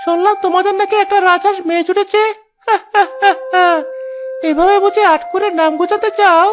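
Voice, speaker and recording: A man's voice: extremely high pitch, fairly even intonation, moderate pace, music in the background. A man voicing a sly, greedy village sidekick in a cartoon, with a teasing, exaggerated manner. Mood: mocking. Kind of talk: Bengali cartoon story dialogue.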